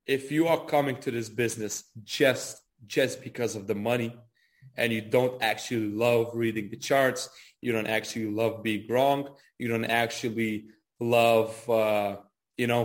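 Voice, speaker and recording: Man, early twenties, medium pitch, casual interviewee, repetitive phrasing, "kinda" and "you know". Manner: repeats words, frequent "kinda" and "you know". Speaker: trader and trading educator being interviewed.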